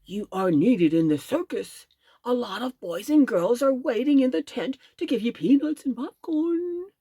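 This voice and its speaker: A woman voicing a stern circus man who speaks in a low, commanding way in a storybook reading. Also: lively intonation, a little slow, warm tone, breathy edge, no echo, studio quality. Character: gruff adult male circus man.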